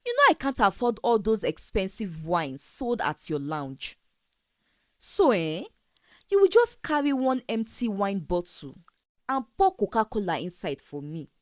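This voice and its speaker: A woman's voice: medium pitch, big pitch swings, measured pace, dry recording. Storybook schemer: female narrator as a vain young gold-digger, boastful, wheedling, swooping intonation, close dry sound.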